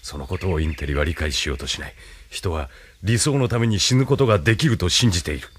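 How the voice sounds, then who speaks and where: An actor playing a calm, imperious military leader in an audio drama, with a measured, condescending, lecturing manner. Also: male voice, sits low, slightly rough, dry recording. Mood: lecturing, assured. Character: calm, imperious male military leader, idealist turned cynical schemer. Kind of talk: anime-style audio drama acting.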